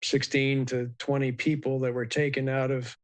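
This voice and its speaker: A man giving a measured, explanatory expert commentary in a live news interview, with many ums and uhs. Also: medium pitch, flat delivery. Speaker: aviation expert guest.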